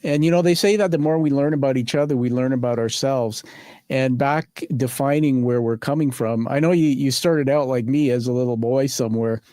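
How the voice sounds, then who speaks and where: A man's voice: mid-range voice, fairly quick, mellow, dry recording. Enthusiastic male podcast host, dry sound. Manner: enthusiastic, encouraging prompts. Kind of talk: casual interview chat.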